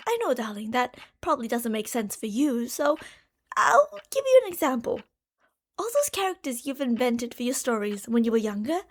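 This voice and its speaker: A woman's voice: high voice, full character voice. A woman playing an obsessive, lovestruck stalker from a parallel universe in an ASMR roleplay, coaxing and explaining to the listener with fond pet names and theatrical emphasis.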